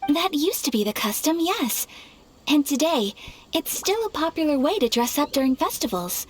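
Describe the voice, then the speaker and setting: A woman's voice: sits high, very animated, normal speed. A woman voicing a polite, reserved young noblewoman in an anime game, courteous and composed. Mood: polite, explanatory.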